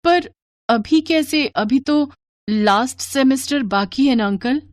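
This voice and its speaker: Woman acting a lively young college girl, expressive. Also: high-pitched, takes it slowly, no echo, studio quality. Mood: nervous. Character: young college girl, lovestruck, teasing, later frightened and pleading. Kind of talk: animated Hindi story dialogue.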